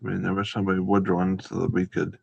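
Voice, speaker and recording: A man's voice: low-pitched, monotone, quick pace, vocal fry, breathy edge. A man hosting a casual, unscripted livestream, talking in a hesitant, rambling and repetitive way. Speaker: live stream host. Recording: dull, roomy livestream audio.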